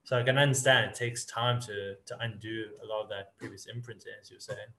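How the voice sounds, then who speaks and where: A man's voice: on the low side, fairly even intonation, brisk, a little hiss or hum. A man from Africa, hosting an interview and asking long, rambling questions. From South Africa. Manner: rambling questions, soft affirmations. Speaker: podcast host. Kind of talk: casual interview. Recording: roomy echo.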